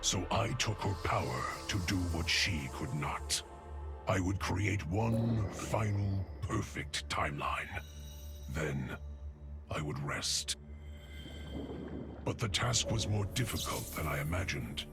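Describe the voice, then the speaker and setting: A world-weary immortal narrates a game epilogue in a deep voice. He is grave and brooding, measuring his words at a slow pace. Music plays clearly beneath him. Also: expressive, exaggerated voice. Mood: weary resignation. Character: weary, solemn immortal lord of time longing for rest. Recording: loud music behind the voice.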